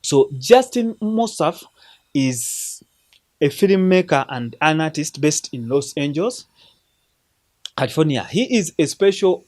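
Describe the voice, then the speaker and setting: A man's voice: a little high, animated, a little slow, a little mellow. A man from Uganda, hosting a film recommendation show in an earnest, preacherly way, often restarting his words. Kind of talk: casual spoken film review.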